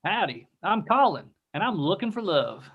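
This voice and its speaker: A man's voice: high voice, big pitch swings, normal speed, breathy edge, lo-fi sound, full character voice. A man playing a polite, flirty cowboy in his thirties with a Southern US accent, in a comedy table read over video call. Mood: friendly. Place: indoor room on a video call.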